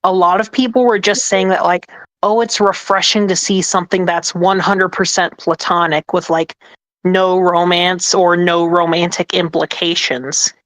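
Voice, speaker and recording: A woman who writes ASMR scripts, speaking on a podcast panel in a hesitant, halting way. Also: on the low side, little pitch movement, fairly bright tone, no echo. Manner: hesitant, halting, self-deprecating. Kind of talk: casual chat.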